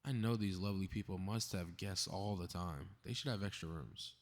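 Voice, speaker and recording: A man's voice: sits low, normal speed. A man playing a cocky, snarky superhero in a scripted audio roleplay, muttering to himself with sarcastic exasperation. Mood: scheming, then indignant. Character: cocky, snarky, meddling invisible male superhero grumbling to himself.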